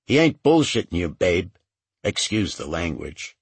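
Man voicing a brash, foul-mouthed streetwise woman, swooping pitch. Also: very animated, measured pace, slightly rough, dry recording, exaggerated voice. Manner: slangy, profane, taunting.

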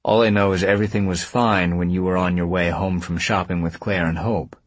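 Audiobook role: male narrator as steady, patient man who firmly presses his point, lowish voice, dry close sound. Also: brisk, no room sound. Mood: probing. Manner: direct, steady, firm. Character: calm, stubborn, patient man pressing for answers.

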